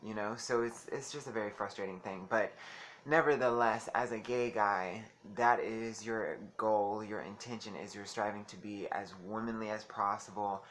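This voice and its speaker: Soft-voiced chatty man, bright tone. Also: fairly low pitch, fairly even intonation, normal speed, slight background noise. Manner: chatty, giggly, calls listeners honey. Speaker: vlogger. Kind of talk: casual talk to camera.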